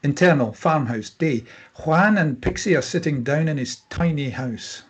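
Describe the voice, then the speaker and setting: A man's voice: a little high, animated, moderate pace, husky. A man reading stage directions for a screenplay as scripted narration.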